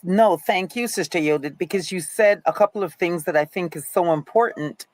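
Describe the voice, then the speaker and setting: A middle-aged woman with a low voice moderates a panel and turns to the audience as she reflects. The sound is dry and close. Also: moderate pace, dry recording. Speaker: panel host. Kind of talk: hosting a panel discussion.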